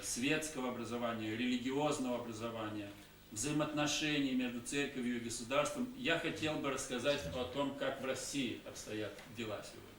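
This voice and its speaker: A man giving a conference talk in short phrases, pausing often with hesitation sounds. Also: mid-range voice, fairly bright tone. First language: Russian. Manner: halting, many hesitation sounds. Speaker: conference speaker. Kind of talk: conference talk with pauses for interpreter. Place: conference hall. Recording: echoey hall, distant mic, faint hiss.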